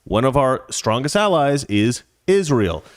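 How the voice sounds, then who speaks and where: A man's voice: medium pitch, very animated, measured pace, no echo, clean studio sound. A man hosting a talk show, giving casual political commentary in a rambling, conversational way that drifts into anecdotes.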